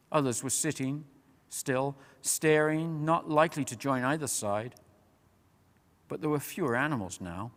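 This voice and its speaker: A man reading a children's fantasy story aloud as a measured, expressive, dramatic narrator. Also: mid-range voice. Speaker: storyteller, reader. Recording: long reverberant hall.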